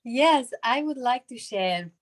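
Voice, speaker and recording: Woman, Hungarian native, 30s, slow halting storyteller on a video call, slightly high voice. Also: animated. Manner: laughs often, halting with fillers. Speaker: translator. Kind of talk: personal story on a group call.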